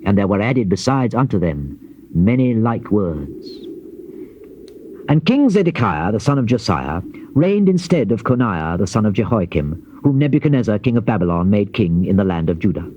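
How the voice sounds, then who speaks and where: A solemn prophet, dramatically swooping delivery. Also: male voice, fairly low pitch, big pitch swings. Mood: solemn narration. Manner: solemn, declamatory, measured phrasing with emphatic rises. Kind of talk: dramatized scripture reading, classical stage delivery. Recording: dull lo-fi old cassette, faint hiss.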